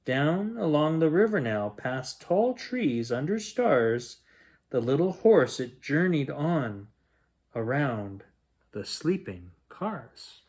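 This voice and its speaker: A man reading a rhyming picture book aloud as a bedtime story, in a gentle, expressive storytelling manner. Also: fairly high pitch, takes it slowly. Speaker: children's bedtime story reader.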